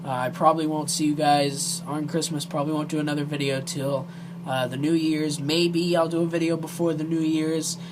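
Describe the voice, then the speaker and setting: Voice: mid-range voice, little pitch movement, normal speed, hardly any pauses, background noise. An amateur video creator speaking directly to viewers in an earnest, emotional and hesitant way.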